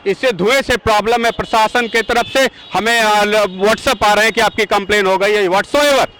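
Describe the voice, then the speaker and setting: A man from Azamgarh, India, speaking as a campaign organizer in a street interview at a busy road junction, arguing forcefully and firing rhetorical, indignant questions. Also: sits high, normal speed, low-quality recording.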